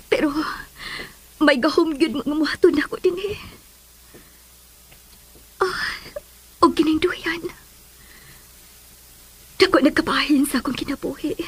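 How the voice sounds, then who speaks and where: An actress playing a sorrowful, lovelorn middle-aged mother in a melodramatic radio drama, with a Filipino accent and a breathy, tearful delivery. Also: high voice, rough recording. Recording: radio drama with music underneath.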